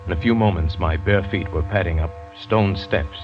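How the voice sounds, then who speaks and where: A low-pitched male actor as a shipwrecked hunter recounts his ordeal in vivid, dramatic first person. It is old radio drama, with a dull, muffled sound and prominent music behind the voice. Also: normal speed, close to an everyday voice. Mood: suspenseful. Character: shipwrecked American big-game hunter narrating his ordeal.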